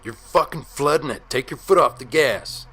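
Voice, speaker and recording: A man playing a foul-mouthed, bossy survivor with a gruff, blunt manner in an audio horror story. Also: medium pitch, expressive, normal speed, fairly bright tone, faint music behind. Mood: angry.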